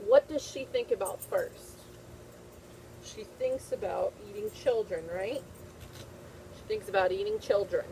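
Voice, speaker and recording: A woman's voice: medium pitch, a little slow, long pauses, mellow, slight background noise, poor audio. A woman teacher reading a picture book aloud to young children, repeating phrases and checking in with simple questions.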